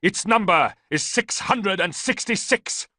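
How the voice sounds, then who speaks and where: Man voicing a booming fanatical priest. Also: expressive, measured pace, bright, forceful tone, no room sound, studio quality, full character voice. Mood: ranting. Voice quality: booming, chanting. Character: fanatical bearded male priest ranting prophecy.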